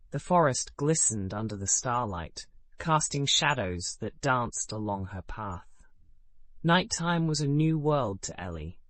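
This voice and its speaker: A man narrating a scripted bedtime story in a calm, measured way, his sentences falling away at the ends. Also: mid-range voice, no room sound.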